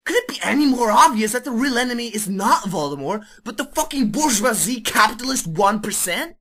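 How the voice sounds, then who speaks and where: Female reader as fervent teen boy agitator, bright forceful voice, ranting emphatically in fan fiction drama. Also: a little high, animated, a little fast, slightly rough, exaggerated voice. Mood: fervent, indignant. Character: zealous, foul-mouthed teenage boy preaching communist revolution.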